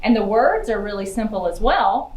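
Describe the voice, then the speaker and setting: A woman's voice: medium pitch, expressive, moderate pace. A woman giving a chatty children's library book talk, using simple words and putting questions to young listeners. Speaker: library outreach puppeteer and presenter. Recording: roomy, echoey indoor sound.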